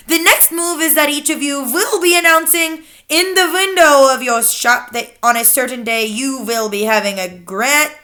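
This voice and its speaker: A woman's voice: high voice, bright, forceful tone, pitched above the performer's own voice. A woman voicing a tiny, tyrannical female witch leader who shrieks orders in oddly broken grammar. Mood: scheming.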